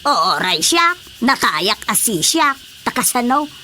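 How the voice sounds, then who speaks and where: A woman's voice: a little high, very animated, measured pace, pushed and bright, slight background noise, full character voice. A woman playing a nosy, reactive friend in a Filipino comedy radio drama, exclamatory and teasing. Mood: curious, teasing.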